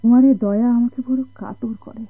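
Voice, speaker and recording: Radio drama: sorrowful, soft-hearted wife, raised husky voice, Bangladeshi accent, plaintive and halting. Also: female voice, on the high side, steady tone, dry recording. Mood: grateful, wistful. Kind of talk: Bengali radio drama acting. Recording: dull, narrow-band old radio recording.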